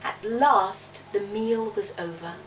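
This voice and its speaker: A woman softly narrating a children's storybook aloud. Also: mid-range voice, takes it slowly, a little hiss or hum. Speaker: storybook reader. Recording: distant mic, music behind the voice. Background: music.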